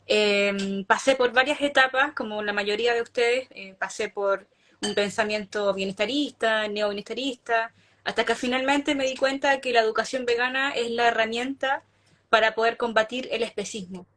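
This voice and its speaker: A woman's voice: a little high, steady tone, normal speed, a bit bright. A woman, an animal rights activist, giving an earnest explanation of her views on an online video call. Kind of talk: casual online talk.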